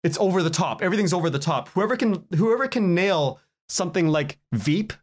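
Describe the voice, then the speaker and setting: Man, slightly high voice, casual interview guest, informal, halting, repeats phrases while thinking aloud. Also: expressive. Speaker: guest, writer and podcaster.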